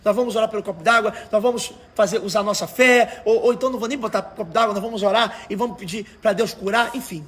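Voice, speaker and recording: A Brazilian man with a markedly high, raspy voice preaches as an evangelical pastor. His delivery is colloquial and emphatic, pressing the listener with rhetorical questions and exhortations in the informal manner of a livestream sermon. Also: quick pace, hardly any pauses, fairly bright tone. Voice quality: hoarse, raspy.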